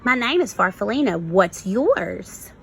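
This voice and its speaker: A woman voicing a sweet female butterfly in a children's storybook, tender and expressive. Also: mid-range voice, a little slow, vocal fry, a little hiss or hum. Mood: friendly. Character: sweet female butterfly missing her best friend. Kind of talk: children's storybook read-aloud.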